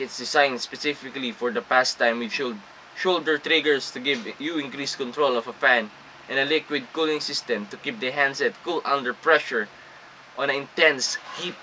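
A young man with a Filipino accent reads gaming phone reviews aloud, stumbling and restarting on harder words and dropping into casual asides between them. His voice is bright and forward, recorded by a close camera mic in a small indoor room. A faint air conditioner hum runs underneath. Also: mid-range voice, rough recording. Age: young adult. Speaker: gaming vlogger.

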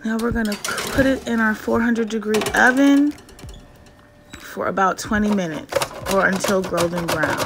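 A woman giving an unhurried, step-by-step cooking narration in a home kitchen, in a homely, instructional way. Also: medium pitch, noisy background. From Jamaican parents. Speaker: cooking vlogger. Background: background music.

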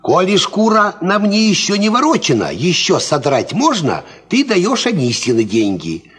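An actor playing a worldly, cocksure peasant farmhand, explaining things in folksy fashion in a 1950s Soviet radio play. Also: male voice, high voice, moderate pace, slightly rough, heavily acted. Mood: knowing. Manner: folksy, animated, instructive.

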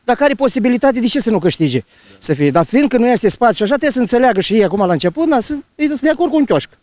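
A man's voice: very high voice, sing-song, darkish tone, no echo. A man in his sixties giving plain-spoken, conversational answers in a street interview on a handheld microphone. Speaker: passer-by interviewee. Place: outdoors on a snowy street. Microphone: reporter's handheld microphone.

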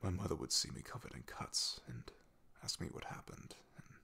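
A man plays a loyal, bashful knight in a fantasy ASMR roleplay. His voice is very low and heavily gravelled, with a very breathy, husky grain. He speaks softly and haltingly, awkward but devoted. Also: expressive, heavily acted. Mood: wistful, reflective. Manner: hesitant, halting, soft-spoken. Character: loyal young male knight, shy, awkward, devoted, soft-spoken.

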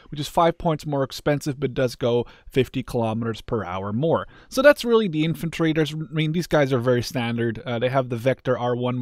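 A man's voice: medium pitch, no room sound, studio quality. A man giving casual, off-the-cuff video game commentary in a candid, conversational way, thinking aloud as he goes. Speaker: gaming video creator. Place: indoors, voice-over on game footage.

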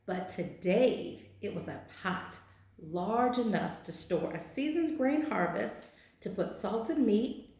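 Slow, echoey woman reading a storybook. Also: medium pitch, animated, a little mellow. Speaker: councilwoman reading a picture book aloud. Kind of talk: children's storybook read-aloud. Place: small indoor office. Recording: very echoey, roomy sound.

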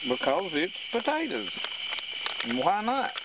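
A man talks in a folksy, chatty way as he works over a fire at a forest camp. He sounds some distance from the camera mic. Crickets and insects buzz clearly behind him. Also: sits high, huge pitch swings, takes it slowly, very noisy. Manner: folksy, chatty, talks to viewers while working. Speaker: outdoors vlogger. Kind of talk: casual camp narration. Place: forest campsite by a fire, outdoors. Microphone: camera mic from a few meters. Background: crickets and insects of the woods.

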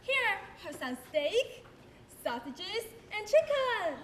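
A young woman acting in a school stage skit, animated and calling out encouragement. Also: very high voice, takes it slowly, roomy sound, slight background noise. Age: young adult. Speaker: student actor playing a mother and skydiving instructor. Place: large indoor stage.

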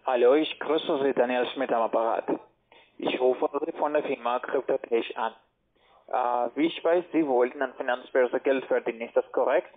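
A man's voice: mid-range voice, moderate pace. Male telemarketer on a narrow phone line. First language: not German. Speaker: cold caller selling trading. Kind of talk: scripted phone sales pitch.

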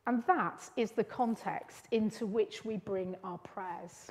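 Woman preaching in a reverberant church. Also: mid-range voice. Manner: earnest, gentle teaching delivery with measured pauses. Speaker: preacher leading a church service. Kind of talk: sermon. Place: church hall.

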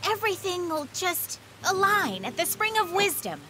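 A woman's voice: extremely high pitch, sing-song, moderate pace, sharp tone, faint music behind, full character voice. A woman voicing a perky, sassy young female researcher in a video game, girlish and cartoonish. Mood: encouraging.

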